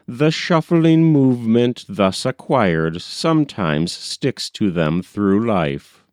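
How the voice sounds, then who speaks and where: A man reading a nineteenth-century novel aloud as an audiobook narrator, with measured, deliberate phrasing. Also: medium pitch, darkish tone, clear, resonant tone, no echo, clean studio sound.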